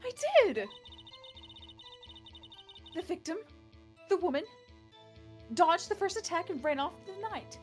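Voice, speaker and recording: A woman's voice: very high-pitched, lively intonation, a little slow, long pauses. A woman voicing a sweet, coy young woman witness, sly and insistent, in an amateur courtroom game dub. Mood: insistent testimony. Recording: music behind the voice.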